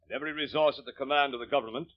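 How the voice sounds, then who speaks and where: A man's voice: mid-range voice, very fast, close to an everyday voice. An actor playing a formal middle-aged male navy admiral addressing the press in a 1930s radio drama. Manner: formal, official. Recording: dull old radio recording.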